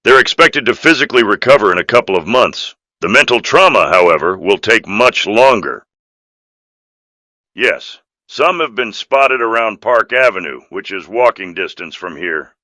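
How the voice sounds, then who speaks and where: A man's voice: lowish voice, expressive, normal speed, sharp tone, no room sound. A man voicing an earnest, somber city official reporting grave news in fan-made game dialogue.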